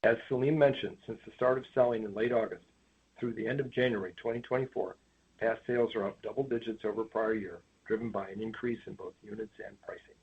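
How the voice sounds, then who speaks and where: A man's voice: lowish voice, fairly even intonation, fast, breathy edge. A man presenting prepared financial remarks on an earnings call in a formal, read-out manner. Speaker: company finance executive. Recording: tinny phone line with background noise.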